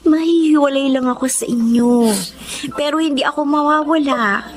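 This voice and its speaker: A woman's voice: high-pitched, normal speed, close to an everyday voice. A woman playing a determined, caring nineteen-year-old daughter in a Tagalog radio drama, with an earnest, reassuring manner. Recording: slight background noise.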